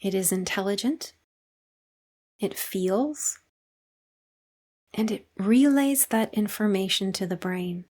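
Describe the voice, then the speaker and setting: Calm woman guiding a meditation. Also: mid-range voice. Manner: calm, unhurried, soothing delivery with long pauses. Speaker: guided meditation teacher. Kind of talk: guided meditation narration.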